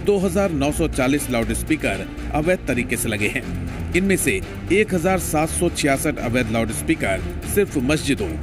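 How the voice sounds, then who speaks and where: A man's voice: mid-range voice, big pitch swings, moderate pace. Man narrating news over music. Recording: music under the voice.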